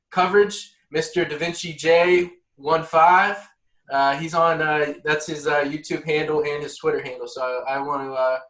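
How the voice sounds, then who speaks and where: A man's voice: a little high, a bit bright. A man hosting a podcast, asking questions in a casual, conversational interview style. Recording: roomy, echoey voice.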